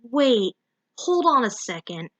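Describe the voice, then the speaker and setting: Woman doing indignant teen fox fairy girl from a storybook, whiny, high, swoops around. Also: very animated, slow, a bit bright, heavily acted. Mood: questioning. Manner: incredulous, whiny teen phrasing. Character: indignant sixteen-year-old female fox fairy.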